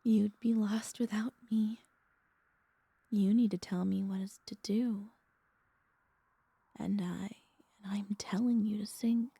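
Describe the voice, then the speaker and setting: Woman playing a slow, breathy, hypnotic vampire mistress. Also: mid-range voice, steady tone, warm tone, dry recording, exaggerated voice. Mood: possessive, coaxing. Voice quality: breathy, often whispering. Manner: slow, coaxing, commanding hypnotic delivery. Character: possessive, soothing female vampire mistress hypnotizing her pet. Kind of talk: ASMR roleplay.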